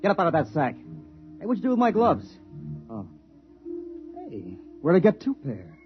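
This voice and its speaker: A man's voice: high voice, huge pitch swings, moderate pace, faint music behind. A man acting as a breezy, easygoing serviceman in a 1950s radio drama, muttering and exclaiming in colloquial talk. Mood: breezy, puzzled. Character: breezy, easygoing serviceman talking to himself, puzzled. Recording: dull 1950s radio recording.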